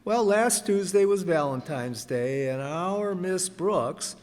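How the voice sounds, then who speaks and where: A man playing a polished, formal 1950s radio announcer with deliberate delivery. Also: high voice, expressive, a little mellow. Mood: polished, promotional. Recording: echoey hall, live stage reading.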